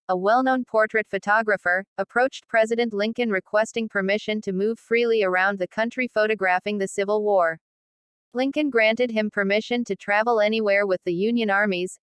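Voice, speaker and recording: A woman reading written passages aloud in a measured, formal manner. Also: medium pitch, fairly bright tone, clear, resonant tone, no room sound.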